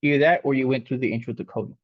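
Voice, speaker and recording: A man's voice: medium pitch, very fast, no room sound. Mexican-born man teaching coding, dull sound. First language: Spanish. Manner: casual, rambling with many fillers. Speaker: coding instructor. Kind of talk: live coding lesson.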